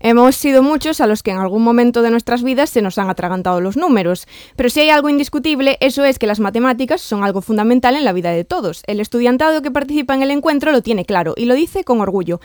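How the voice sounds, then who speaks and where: Woman, news reporter, fast, dry close sound. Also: fairly high pitch, expressive, darkish tone, no echo. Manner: brisk scripted delivery. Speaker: field reporter.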